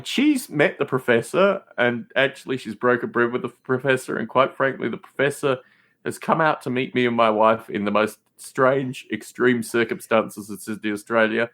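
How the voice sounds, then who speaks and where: A man chatting casually as a podcast guest, rambling in an animated way. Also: medium pitch, moderate pace. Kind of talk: casual chat.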